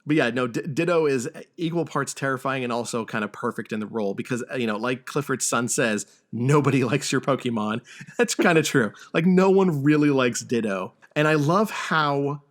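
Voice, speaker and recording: Halting man chatting on a podcast. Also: medium pitch. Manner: halting, stammering delivery with repeated words. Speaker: podcast host. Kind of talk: casual film discussion podcast.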